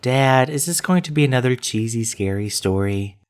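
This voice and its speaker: A man's voice: medium pitch, lively intonation, normal speed, clean tone, pitched above the performer's own voice, close to an everyday voice. A man voicing a sceptical ten-year-old boy in a story, whiny and unimpressed. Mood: unimpressed, pleading.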